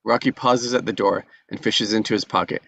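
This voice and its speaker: A man reading the stage directions aloud at a script table read, plain and even. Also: on the low side, brisk, creaky.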